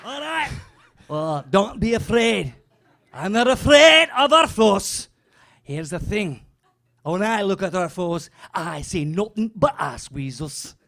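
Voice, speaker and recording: Loud guy doing a fake scottish accent, rallying the crowd, gravelly, high and all over the place. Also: animated, slow pace. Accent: put-on Scottish. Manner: boisterous, shouting rally-style speech to a crowd. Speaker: comedy performer. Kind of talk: rowdy medieval-character stand-up.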